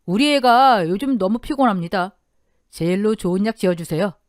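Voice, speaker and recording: A woman's voice: mid-range voice, expressive, moderate pace, no echo, clean studio sound, close to an everyday voice. A woman voicing a blunt but caring older father-in-law in a radio story drama. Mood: generous, caring. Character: gruff but warm-hearted older father-in-law, a restaurant owner.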